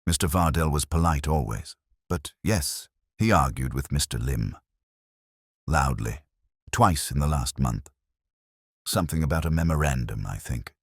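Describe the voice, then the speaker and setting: A man voicing a young, sharp female secretary, guarded and curt, in an audiobook mystery. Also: very deep voice, animated, unhurried, slightly rough, dry recording, clean studio sound, full character voice.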